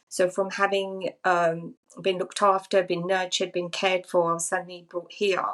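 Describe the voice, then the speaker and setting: A woman's voice: lowish voice, a bit bright. A woman in her fifties, from Zambia, telling her life story as a podcast guest in a thoughtful, musing way that often repeats phrases. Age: 50s. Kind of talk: reflective personal storytelling.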